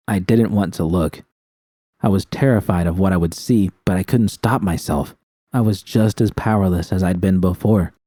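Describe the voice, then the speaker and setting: Male narrator, first-person fiction reading, dramatic delivery, low pitch, soft dark tone, dry close sound. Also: no echo. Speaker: audiobook narrator.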